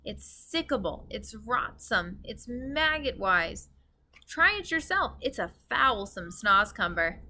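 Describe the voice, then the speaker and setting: A woman's voice: a little high, very animated, takes it slowly, bright, forceful tone, full character voice. A woman voicing a big, kindly, bumbling male giant from a storybook, theatrical and earnest, mangling his words. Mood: disgusted.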